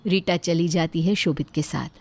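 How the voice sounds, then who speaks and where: A woman narrates a scripted moral tale. Her voice is on the lower side, and she tells the events as a storyteller. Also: moderate pace, darkish tone, no echo.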